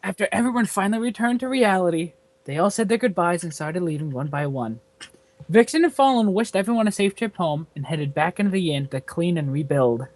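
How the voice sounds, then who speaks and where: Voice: mid-range voice, lively intonation, fairly quick, a little mellow, no room sound. A person reading their own comic fan fiction aloud in a theatrical way, as a storyteller among friends. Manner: theatrical, often breaking into laughter.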